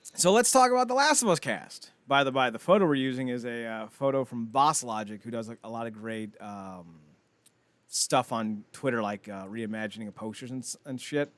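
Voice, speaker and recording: A man's voice: mid-range voice, normal speed, a bit bright, no echo. A man co-hosting a casual pop-culture podcast, rambling and swearing freely as he chats. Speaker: podcast co-host.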